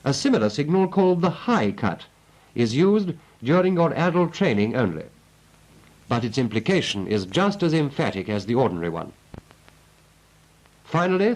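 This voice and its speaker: A man's voice: a little high, a little mellow, rough recording. A British man narrating a 1940s military training film as an instructor, in a clipped, methodical and instructive manner.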